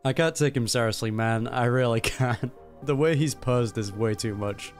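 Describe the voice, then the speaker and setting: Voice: medium pitch, normal speed, faint music behind. A game streamer giving casual reaction commentary in short, offhand muttered asides over a cutscene.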